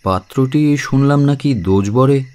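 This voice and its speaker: A man's voice: medium pitch, unhurried, darkish tone, lightly acted. An actor playing a courteous, caring young man in an audio drama, speaking politely and earnestly. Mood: earnest, probing. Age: young adult.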